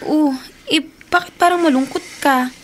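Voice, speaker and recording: A woman acts out a dutiful young Filipina little sister in a radio play. Her voice is very high and girlish, with slight background noise. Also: normal speed, natural voice. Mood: caring. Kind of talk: Filipino radio drama acting.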